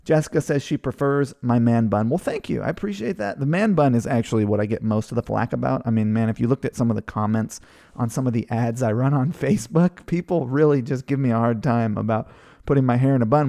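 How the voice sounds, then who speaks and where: A man from Hawaii in his thirties hosts a live online session, reading out viewer comments in a loose, rambling way. His voice is gravelly with a dark, mellow tone, and he talks over a computer microphone. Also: mid-range voice, brisk. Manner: rambling, chatty, many fillers and restarts. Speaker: webinar host.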